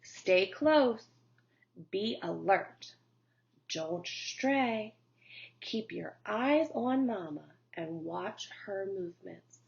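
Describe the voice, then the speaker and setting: Teacher lady reading a kids' book, real slow, kinda high, muffled audio. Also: animated, creaky. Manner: slow, gentle storytelling. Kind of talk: children's read-aloud. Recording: dull lo-fi recording.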